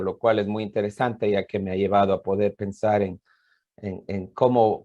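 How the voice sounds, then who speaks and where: A man's voice: on the low side, darkish tone, highs cut off. A man with an Ecuadorian accent giving an informal, explanatory conference talk as a professor, hesitant and often repeating words. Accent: Ecuadorian Spanish.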